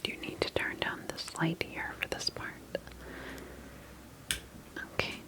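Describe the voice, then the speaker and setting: A woman whispering softly and gently in an ASMR roleplay as a calm, attentive doctor examining a patient, unhurried and reassuring. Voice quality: soft, breathy whisper.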